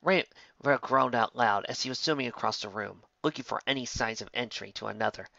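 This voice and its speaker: Bright-voiced story narrator, dry lo-fi recording. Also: mid-range voice, fairly quick, vocal fry, no room sound. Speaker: fan fiction narrator.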